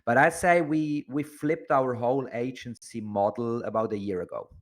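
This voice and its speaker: A man's voice: mid-range voice, normal speed. A man from Switzerland, chatting casually as an interview guest. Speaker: podcast guest, agency entrepreneur.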